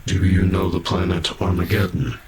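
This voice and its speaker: A man playing a kindly, centuries-old half-machine guardian in a fan audio drama, speaking in a halting, careful, softly formal way. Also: deep voice, level tone, noisy background, natural voice. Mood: storytelling.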